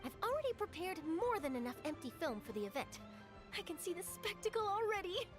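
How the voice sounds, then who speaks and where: A woman voicing a curious, enthusiastic young reporter asking bright questions. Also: extremely high pitch, very animated, a little fast. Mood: eager. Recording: game audio with soft music.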